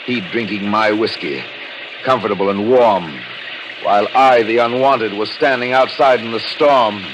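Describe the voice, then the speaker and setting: A man's voice: fairly low pitch, moderate pace, background noise, natural voice. An actor playing a bitter, scheming husband who narrates his revenge in brooding, dramatic 1940s radio thriller style. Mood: tense, calculating. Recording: old radio recording with music behind.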